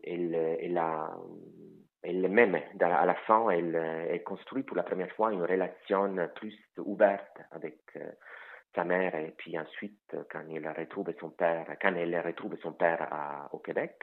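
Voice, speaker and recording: Man, very deep voice, hesitant, many fillers and restarts, tinny phone-like sound. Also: fairly even intonation, measured pace. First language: Italian. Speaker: author guest. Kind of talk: interview answers about his novel.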